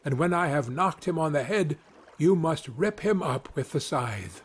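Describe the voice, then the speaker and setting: A man's voice: on the high side, expressive, unhurried, husky. A man voicing an earnest, protective woodman father in a storybook tale. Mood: resolute. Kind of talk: storybook character voice.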